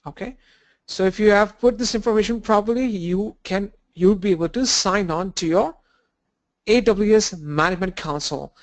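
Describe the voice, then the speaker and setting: A man with a cold and a blocked nose, teaching an online training lesson with halting fillers and restarts. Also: high-pitched. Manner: halting, restarts and fillers, walks through screens. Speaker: instructor.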